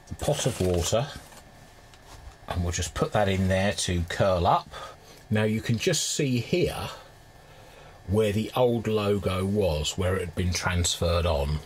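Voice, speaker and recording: A man's voice: on the low side, expressive, takes it slowly, long pauses. A man giving an informal hands-on demonstration, thinking aloud as he works at his bench. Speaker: restorer presenting a hobby repair video. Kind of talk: casual hands-on demonstration commentary. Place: indoor cluttered electronics workbench. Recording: clear background noise, workshop ambience.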